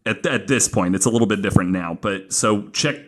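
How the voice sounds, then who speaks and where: A man's voice: lowish voice, fairly quick, fairly bright tone. A man hosting a podcast, telling a true-crime story casually and informally from notes, with some swearing. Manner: informal, profane, acting out quoted remarks.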